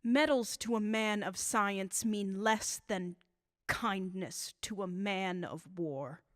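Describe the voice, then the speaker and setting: A woman playing an embittered elderly scientist in a radio drama, measured, calm and dryly sardonic. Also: medium pitch, no echo, studio quality, close to an everyday voice. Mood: composed, resigned. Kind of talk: 1930s-style radio drama acting.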